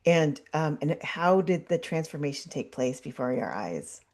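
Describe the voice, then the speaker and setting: Casting: deep-voiced woman podcast host, curious, thinks aloud with ums. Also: lively intonation, normal speed, darkish tone. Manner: curious, musing with many ums. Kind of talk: casual interview talk.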